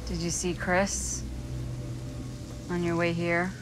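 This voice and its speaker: An actress playing a resigned, cryptic woman in a horror video game, calm, terse and ominous. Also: on the low side, slow pace, noisy background. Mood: somber. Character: resigned, cryptic woman long trapped in limbo. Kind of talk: video game cutscene acting.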